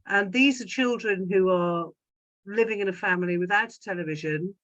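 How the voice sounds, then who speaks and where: An older woman speaking as a panellist, in deliberate, emphatic and measured phrases. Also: medium pitch, a bit bright, highs cut off. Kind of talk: thoughtful panel discussion.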